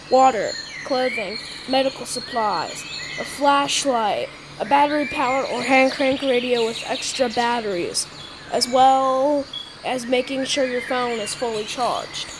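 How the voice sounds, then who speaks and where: Woman, higher-pitched, as amateur emergency-alert announcer; halting, stumbling delivery; heavy background noise and chirping birds. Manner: halting, with stumbles and repeated words. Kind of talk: improvised mock emergency broadcast announcement. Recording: noisy homemade recording, birdsong behind.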